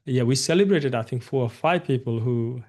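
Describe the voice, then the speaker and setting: A man's voice: mid-range voice, moderate pace, darkish tone. A man from Nepal, a guest agency founder, talking casually in an interview, often starting with "look" and "you know".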